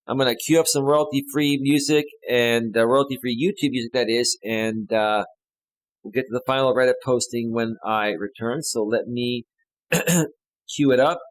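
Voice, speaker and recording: A man's voice: mid-range voice, moderate pace, rough recording. A man hosting a casual live stream as a life coach, joking loosely with his chat viewers. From United States.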